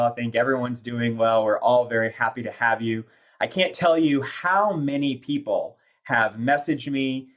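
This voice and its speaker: A man hosting a podcast who reads a guest introduction, then chats in an upbeat, effusive way. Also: medium pitch. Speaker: podcast host. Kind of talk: scripted guest introduction, then casual chat.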